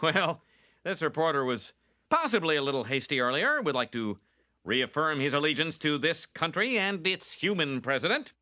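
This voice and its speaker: A man's voice: on the high side, very animated, normal speed, sharp tone, no echo, clean studio sound. An actor playing a smug male TV reporter, speaking with sly, mock-earnest sincerity.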